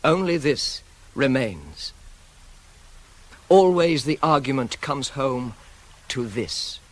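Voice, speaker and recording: An actor playing a proud, self-controlled young prince wronged by his father, in a formal, measured, declamatory classical tragedy style for radio. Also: medium pitch, animated. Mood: solemn, pleading.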